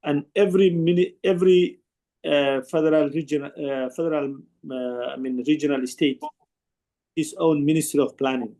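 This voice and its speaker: A man, a participant in an online panel, who explains at length in an earnest, halting way with many restarts. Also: fairly high pitch, fairly even intonation, dark tone. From Somalia. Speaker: conference participant asking a question.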